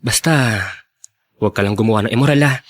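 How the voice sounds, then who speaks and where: A man's voice: mid-range voice, fast talker, lightly acted. A man playing a sincere, supportive younger brother in a radio drama, talking in an earnest, plain-spoken way. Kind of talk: Tagalog radio drama acting.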